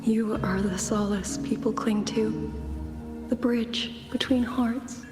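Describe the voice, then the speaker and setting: In a long, echoing reverb, a sorrowful, commanding figure from a fantasy game. She speaks slowly and portentously, with music underneath. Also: on the high side, steady tone. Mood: solemn. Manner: solemn, portentous. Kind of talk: scripted game dialogue.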